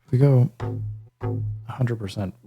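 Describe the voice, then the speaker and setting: A man's voice: measured pace, creaky. A man hosting a casual hands-on music gear tutorial, thinking aloud in a hesitant, self-deprecating way. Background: synth pad and bass sample demo sounds.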